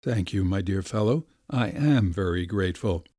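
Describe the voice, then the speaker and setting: A man's voice: low voice, lively intonation, normal speed, warm tone, no room sound, studio quality. A man doing a hesitant, flustered family doctor. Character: middle-aged family doctor, polite, embarrassed.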